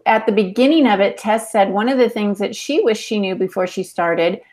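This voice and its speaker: Female podcast moderator, mid-range pitch, informal delivery, passing on listener questions. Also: normal speed, a little mellow. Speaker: podcast chat-room moderator. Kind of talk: casual relaying of audience questions.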